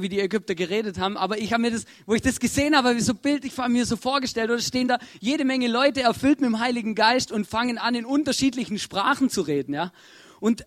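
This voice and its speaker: High-voiced man giving a casual Bible talk. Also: no room sound, studio quality. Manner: chatty, checks in with listeners. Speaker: youth Bible teacher.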